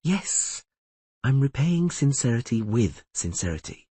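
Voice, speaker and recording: A man voicing a proud, quick-tongued sorceress in an audiobook reading, in a husky voice with a teasing, commanding manner. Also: mid-range voice, sing-song, dark tone, no echo, pitched above the performer's own voice. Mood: playful.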